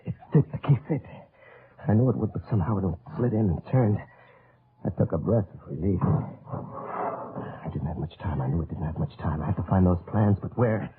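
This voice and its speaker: A resolute American captain in a vintage radio drama. His pitch moves around a lot as he speaks with urgency about his mission, and the recording is narrow and tinny. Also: lively intonation, normal speed, slight background noise. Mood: tense, urgent. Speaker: actor and first-person narrator. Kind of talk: old-time radio drama acting. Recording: muffled, tinny old radio broadcast.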